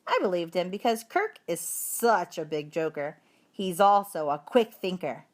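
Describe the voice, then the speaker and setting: Animated woman reading a children's story. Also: fairly low pitch, a little slow. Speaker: story reader. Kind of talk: expressive children's storybook narration.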